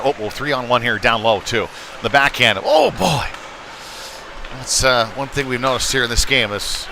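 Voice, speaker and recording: A man doing live play-by-play hockey commentary in a folksy, conversational way. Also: mid-range voice. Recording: noisy rink with clear background noise.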